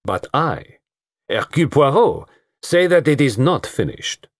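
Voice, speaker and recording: A man's voice: on the high side, sing-song, measured pace, no room sound, studio quality, pitched above the performer's own voice, exaggerated voice. A man voicing a shrewd, precise middle-aged male detective with a put-on French accent. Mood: commanding. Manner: precise, formal, probing questions. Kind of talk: audiobook character voice.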